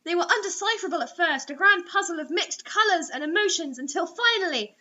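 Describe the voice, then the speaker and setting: A woman's voice: very high-pitched, expressive, brisk, pushed and bright. A woman reading a story dramatically as a host, breaking in with sarcastic, mocking asides. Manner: theatrical, sarcastic. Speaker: host reading bad online fiction aloud. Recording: dull lo-fi recording.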